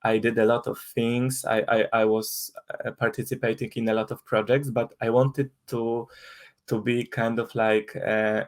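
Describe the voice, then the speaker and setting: A young man from Poland speaks English with a Polish accent, his first language being Polish. He talks in a casual interview, often restarting words and reaching for fillers. His voice sits at an ordinary middle pitch. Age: young adult. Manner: hesitant, many restarts and fillers. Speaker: guest.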